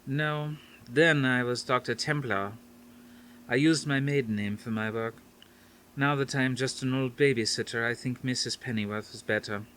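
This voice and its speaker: A man's voice: medium pitch, normal speed, a bit bright, a little hiss or hum, pitched above the performer's own voice, lightly acted. A man reading an audiobook, voicing a stately grandmother near seventy with a faint Dutch accent who speaks firmly and formally. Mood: amused. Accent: faint Dutch, as put on. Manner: firm, soothing, formal phrasing.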